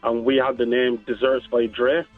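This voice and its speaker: A man's voice: mid-range voice, level tone, normal speed. A man with a Barbadian accent, chatting casually as an interview guest. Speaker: interview guest, dessert business owner. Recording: tinny phone-like line, faint music.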